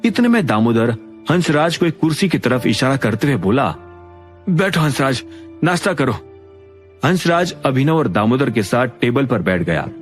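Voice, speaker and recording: A man's voice: mid-range voice, very animated, moderate pace, faint music behind. A man narrating a scripted dramatic audio story.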